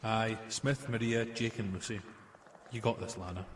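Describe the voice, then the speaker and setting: A young man playing a steady, supportive police constable sidekick in a mystery audio drama. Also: on the low side, normal speed, echoey room, slight background noise, close to an everyday voice. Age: young adult. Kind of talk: conversational audio drama acting.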